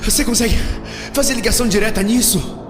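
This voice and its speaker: Man acting a frantic high-pitched Brazilian fugitive, reverberant. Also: quick pace, close to an everyday voice. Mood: panicked, impatient. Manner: urgent, shouting. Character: frantic, foul-mouthed athletic young man fleeing killers. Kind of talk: horror audio drama acting. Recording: long reverb, music underneath. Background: music.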